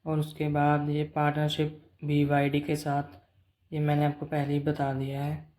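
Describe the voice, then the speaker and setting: A man's voice: a little high, completely flat delivery, normal speed, darkish tone. A man presenting a financial update in a plain, explanatory way, mixing in English business terms. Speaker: presenter of company earnings updates. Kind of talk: explanatory financial commentary, semi-scripted.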